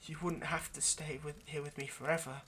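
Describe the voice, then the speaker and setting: A man's voice: on the high side, fairly even intonation, fairly quick, a little hiss or hum. A man playing an earnest, lovestruck vampire in an intimate roleplay monologue, speaking hesitantly and persuasively. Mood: solemn, cautioning. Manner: hesitant, stammering, gently persuasive. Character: earnest, lovestruck male vampire coaxing a partner.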